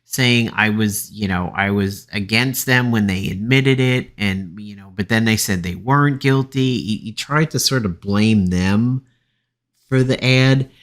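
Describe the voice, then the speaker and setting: A man giving an informal, opinionated political recap as a commentator, talking from notes in a halting, dismissive way. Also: on the low side, a bit bright.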